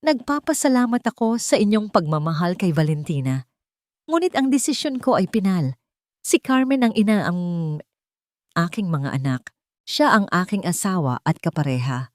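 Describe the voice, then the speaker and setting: A woman's voice: mid-range voice, very animated, moderate pace, darkish tone, dry recording, clean studio sound, natural voice. A woman voicing a resolute husband and father in a drama story, speaking formally, with respect and firmness. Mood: respectful but firm.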